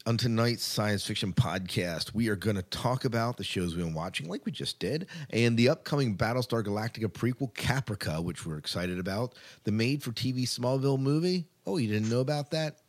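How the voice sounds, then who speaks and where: A man co-hosting a podcast in casual chat, rambling along informally and with enthusiasm. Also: fairly low pitch. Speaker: podcast co-host.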